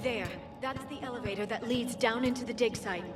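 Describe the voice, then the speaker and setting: A woman's voice: on the high side, fairly quick, close to an everyday voice. Earnest female scientist voiced by an actress, music behind. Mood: focused. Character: earnest, learned female scientist squadmate in sci-fi war. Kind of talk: video game voice acting.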